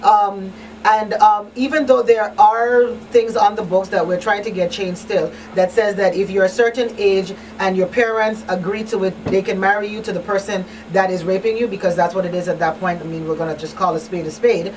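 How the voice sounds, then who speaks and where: A woman's voice: medium pitch, steady tone, moderate pace, darkish tone. Lady hosting radio talk, plain-spoken, bit far from the mic, slight noise. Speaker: talk show host. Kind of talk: conversational radio talk show. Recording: distant mic, faint background noise.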